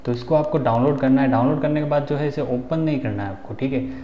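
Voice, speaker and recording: Mellow young Indian man, echoey room. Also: medium pitch, quick pace, slight background noise. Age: 20s. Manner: informal, instructional, frequent check-in tags. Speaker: tech tutorial host. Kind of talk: casual step-by-step phone tutorial. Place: small indoor room. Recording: roomy echo, dull sound, faint music.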